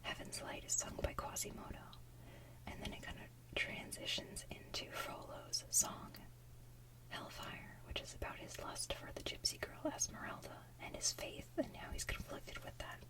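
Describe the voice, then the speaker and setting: A woman hosting ASMR, chatting casually in a soft whisper like an enthusiastic fan who rambles as she goes. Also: normal speed, distant mic, rough recording. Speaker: ASMR video host.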